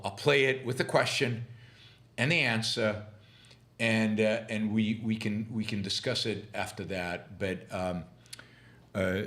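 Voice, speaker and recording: A middle-aged man hosting a political commentary show, speaking in a halting way with many fillers and restarts, and turning scornful and sarcastic. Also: on the low side, a bit bright. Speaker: podcast host.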